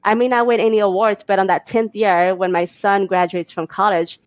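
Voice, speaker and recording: A woman's voice: mid-range voice, steady tone, moderate pace, no echo. A woman guest on a podcast, talking eagerly about a big vision and laughing between thoughts. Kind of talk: casual interview talk. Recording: dull dry lo-fi audio.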